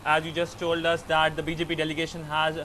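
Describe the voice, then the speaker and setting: A man's voice: a little high, monotone, fast talker, pushed and bright, a little hiss or hum. A man with an Indian English accent anchoring the news and questioning a reporter live.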